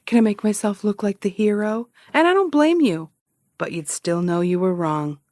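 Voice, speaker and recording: An actress playing a cocky, sarcastic amateur investigator and broadcaster who teases and rambles defensively in an audio comedy-drama. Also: female voice, medium pitch, expressive, normal speed, a little mellow, no room sound, studio quality, close to an everyday voice. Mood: smug, needling. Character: cocky, needling amateur investigator and radio broadcaster, adult woman. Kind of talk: naturalistic audio fiction comedy-drama acting.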